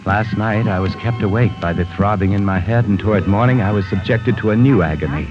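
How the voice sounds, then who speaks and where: A man's voice: lowish voice, brisk, lightly acted. An actor playing an obsessed man who narrates his journal about a murder in a 1940s radio drama. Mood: brooding. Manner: brooding, haunted journal narration. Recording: lo-fi old radio broadcast with music.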